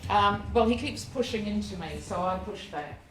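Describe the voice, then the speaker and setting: A woman's voice: medium pitch, lively intonation, normal speed. A woman running a shop who answers defensively and curtly. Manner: defensive, curt. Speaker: business owner. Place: indoor shop. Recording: far from the mic in an echoey shop with loud music.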